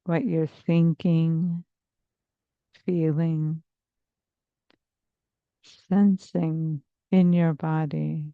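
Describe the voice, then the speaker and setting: Slow, low-voiced woman guiding a meditation. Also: little pitch movement, no echo, phone-quality sound. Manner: soothing, measured, hypnotic phrasing with long pauses. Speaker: therapist leading a guided meditation. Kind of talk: slow guided meditation narration.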